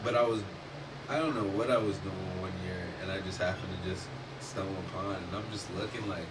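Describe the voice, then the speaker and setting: A man's voice: low-pitched, a little fast. Emphatic man, distant mic, noisy room. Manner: animated, emphatic, swears freely. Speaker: podcast host. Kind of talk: casual discussion. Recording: distant mic with noticeable background noise.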